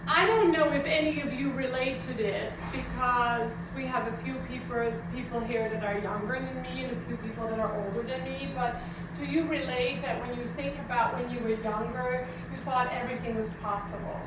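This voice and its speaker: A woman pastor with a high-pitched voice delivers a conversational, reflective sermon. She is picked up from a distance in a very echoey room, with clear noise in the recording. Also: steady tone, moderate pace. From Netherlands. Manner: conversational, reflective, addresses listeners with questions. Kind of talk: informal spiritual sermon to a congregation. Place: church service hall, indoors.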